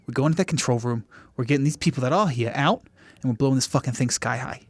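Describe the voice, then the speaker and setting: A man plays a decisive saboteur in a tabletop game, angry and blunt. He gives orders in a commanding way, with a mid-pitched voice and very dry, close sound. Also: fast talker, no room sound, natural voice. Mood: angry, resolute.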